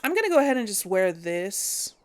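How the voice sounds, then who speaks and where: A woman's voice: mid-range voice, big pitch swings, a little fast. A woman hosting a let's play, giving casual gameplay commentary and thinking aloud with hesitant restarts.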